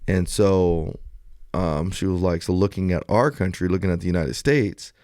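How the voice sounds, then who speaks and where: A man with a deep voice hosts a podcast in a dry, close-sounding recording. He talks in loose, slangy sentences like he is chatting with friends. When he retells an exchange, he acts out the lines. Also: moderate pace, a little mellow, no room sound. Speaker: podcast host. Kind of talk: casual podcast banter and storytelling.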